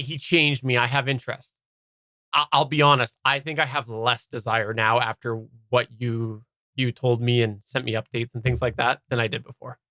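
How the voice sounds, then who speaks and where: A man's voice: mid-range voice, sharp tone, no echo. A man hosting a casual live podcast chat, putting questions and addressing the listeners informally. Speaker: podcast host asking questions.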